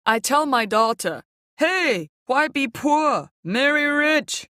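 A woman's voice: high-pitched, expressive, takes it slowly, sharp tone, no echo, studio quality, voice pushed up, exaggerated voice. A woman voicing a boisterous middle-aged uncle who laughs at his own joke, speaking broken English.

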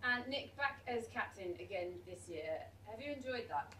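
A woman's voice: a little high, expressive, moderate pace, bright, forceful tone. Woman moderator, distant, faint room noise. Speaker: press conference moderator. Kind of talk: asking interview questions.

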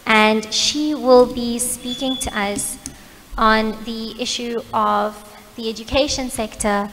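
A woman with a handheld mic, introducing the next speaker in a measured, announcing way. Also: a little high, fairly even intonation. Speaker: program facilitator. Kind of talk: formal introduction. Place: hall, indoors. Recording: reverberant hall with faint noise.

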